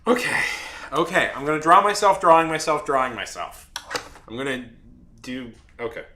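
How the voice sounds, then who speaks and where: Man, casual stream chat, halting and rambling, bright tense tone. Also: on the high side, animated, slight background noise. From Saudi Arabia. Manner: rambling, halting, with many fillers and restarts. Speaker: artist streaming while drawing and chatting.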